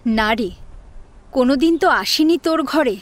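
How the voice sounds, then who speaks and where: A woman playing a quiet, reserved, melancholy married elder sister in an audio drama. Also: sits high, expressive, measured pace. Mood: wistful, subdued. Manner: subdued, reserved. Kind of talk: Bengali audio drama acting.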